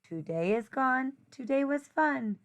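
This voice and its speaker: A woman teacher reading a rhyming children's picture book aloud in an expressive, sing-song way. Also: high-pitched, unhurried.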